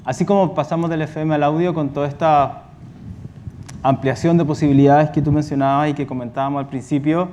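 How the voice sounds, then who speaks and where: Higher-pitched, mellow man explaining. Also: steady tone, a little fast, slight background noise. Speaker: audio company representative on a panel. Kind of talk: explanatory panel answer.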